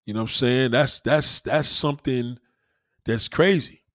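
A man's voice: medium pitch, a little slow, fairly bright tone, dry recording. A man giving a blunt, profane opinion monologue in a casual, lecturing way, repeating points for emphasis. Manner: emphatic, repeats himself for stress.

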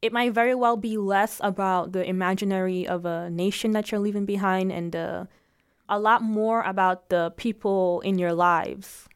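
Woman, medium pitch, crisp clear tone, reflective personal storytelling, dry sound. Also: fairly even intonation, normal speed, no room sound. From Jamaica. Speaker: interviewee telling their story.

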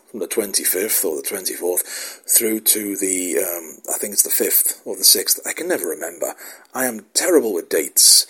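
A man talks casually as a show host, wandering from point to point with plenty of ums and restarts. His voice is hoarse from a cold, sitting low and sounding rough and breathy. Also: a bit bright. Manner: meandering, self-deprecating, many fillers and restarts. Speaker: podcast host. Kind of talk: casual rambling monologue.

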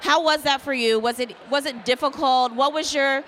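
High, bright woman asking questions. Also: steady tone, normal speed, a little hiss or hum. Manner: chatty, hesitant phrasing. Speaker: interviewer. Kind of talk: interview questions.

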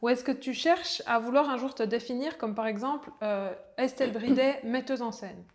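A woman who asks an actress polite, measured interview questions. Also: fairly high pitch.

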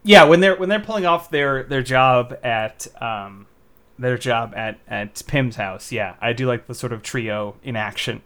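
A man's voice: medium pitch, measured pace, fairly bright tone. A young man co-hosting a podcast, chatting casually about a film, restarting sentences and piling up "like"s as he thinks aloud. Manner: rambling, restarts sentences, many "like"s. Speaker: podcast co-host. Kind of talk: casual movie review chat.

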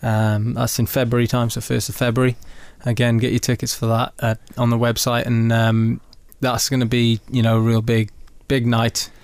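A man's voice: medium pitch, completely flat delivery, normal speed, few pauses, a little mellow, clear, resonant tone, no room sound. A Welsh man chatting casually as a podcast guest, easygoing and hesitant, with plenty of fillers. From Wales. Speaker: rugby player, guest.

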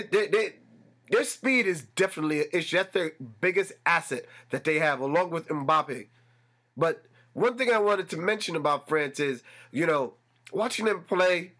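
Male podcast host, stuffy nasal voice, a touch higher-pitched, talks himself up into excitement. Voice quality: slightly nasal. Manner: animated, builds excitement with repetition. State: congested. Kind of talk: solo sports podcast talk. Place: room with a fan running.

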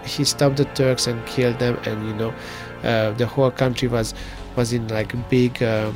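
A man from Transylvania, telling a story in a halting way, with many fillers and restarts. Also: medium pitch, level tone, over music. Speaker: interviewee. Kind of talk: casual conversational storytelling.

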